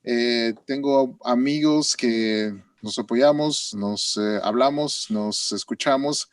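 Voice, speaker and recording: A man with a Mexican accent hosting a casual interview, halting and filler-heavy as he talks. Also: medium pitch, no echo, recorded from a distance. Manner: hesitant, many fillers and restarts. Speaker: interview host.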